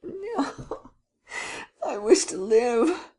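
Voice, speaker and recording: A woman's voice: fairly high pitch, lively intonation, takes it slowly, warm tone, pitched above the performer's own voice. A woman voicing a frightened young man in an audiobook, speaking in faltering, pleading, stuttering tones. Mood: terrified pleading.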